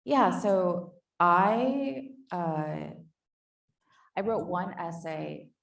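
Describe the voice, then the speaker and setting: A woman author in a panel conversation, thoughtful and soft-spoken, reading from her book and talking about it. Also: on the low side, very slow, creaky, distant mic. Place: conference hall. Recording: echoey hall, voice from off mic.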